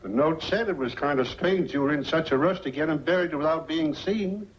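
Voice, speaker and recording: An actor playing a weary, remorseful old small-town doctor in a 1940s film, plainspoken and folksy. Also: male voice, fairly high pitch, fairly quick, a little hiss or hum, natural voice. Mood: gently reassuring. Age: older. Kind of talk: 1940s film noir acting. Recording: dull 1940s film soundtrack.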